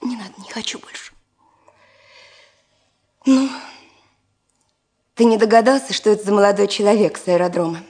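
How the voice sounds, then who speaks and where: Breathy, anxious, devoted wife. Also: female voice, mid-range voice, moderate pace, pauses often, a little mellow. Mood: fond, confiding. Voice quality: breathy, often half-whispered. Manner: emotional, wistful, repeating words. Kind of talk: Soviet radio drama acting.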